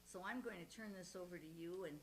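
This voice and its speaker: An older woman with a loud voice, welcoming residents and interviewing a guest at a community gathering in a retirement home's meeting room. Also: fairly low pitch, fast, slight background noise, rough recording. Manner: loud voice, warm welcoming announcer tone, laughs often. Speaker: community committee member introducing a guest.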